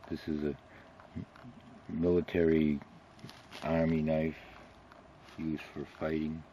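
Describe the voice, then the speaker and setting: A middle-aged man filming a family camping trip in the woods, joking and teasing in a low, offhand way into a handheld camera. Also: flat delivery, slow pace, mellow, dull audio. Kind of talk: casual home video chat. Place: outdoors in a wooded campground. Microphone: handheld camera held close. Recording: outdoor camcorder noise.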